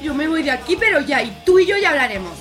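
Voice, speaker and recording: A woman's voice: sits high, big pitch swings, quick pace, fairly bright tone, soft background music. A woman acting a panicked, hungover, bossy young woman in a radio serial, indignant, with an accent from Spain. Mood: panicked, annoyed. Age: young adult. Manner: indignant, exclaiming.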